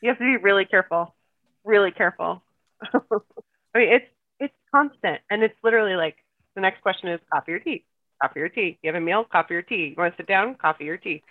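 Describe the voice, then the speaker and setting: Heard first is a tinny, phone-like line with a dry, close sound. Over it, a guest raised in the United States talks through stories in a warm, reflective, conversational way. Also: medium pitch, fast talker, no room sound. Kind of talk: casual podcast conversation.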